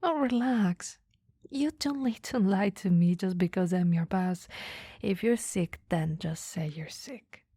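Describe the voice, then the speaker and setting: A woman playing a caring, flirty, easily flustered boss in a soft, intimate ASMR roleplay, often whispering, gently teasing and a little hesitant. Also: mid-range voice, no room sound, clean studio sound, exaggerated voice. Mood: concerned, soothing. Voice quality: breathy, soft. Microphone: close microphone, dry.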